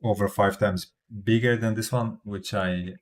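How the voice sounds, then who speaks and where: A man's voice: lowish voice, fairly even intonation, a little slow. A man from Europe, speaking English as a second language with a non-native accent, talking casually on a livestream with many fillers and restarts. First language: not English. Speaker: crypto livestreamer.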